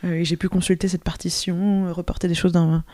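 Young French woman, opera singer, thoughtful, halting delivery, dry close sound. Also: on the low side, level tone, mellow, no echo. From France. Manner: thoughtful, many hesitations and restarts. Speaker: opera singer, mezzo-soprano. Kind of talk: radio interview.

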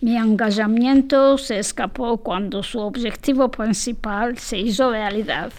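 A woman reading a translation of a literary text aloud, slowly and carefully, with small restarts. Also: fairly high pitch, a little mellow.

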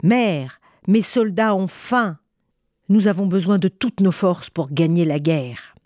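A woman's voice: lowish voice, takes it slowly, warm tone, dry recording, clean studio sound. A woman storyteller voicing an eager, restless young peasant son who exclaims and pleads. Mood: pleading.

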